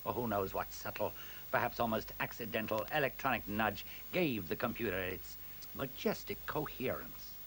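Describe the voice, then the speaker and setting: An actor playing a resolute, intellectual male scientist in a 1970s radio play, deliberate and authoritative. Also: on the low side, animated, a bit bright. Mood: grave, reflective. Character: grave, resolute, intellectual scientist taking charge. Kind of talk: 1970s radio drama acting. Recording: hissy 1970s radio recording.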